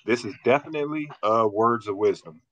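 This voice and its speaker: A man with a lowish voice hosts a podcast in a playful, teasing way full of hip hop slang. The sound is dry and close. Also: fairly quick, fairly bright tone, dry recording. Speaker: podcast co-host. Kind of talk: casual podcast chat.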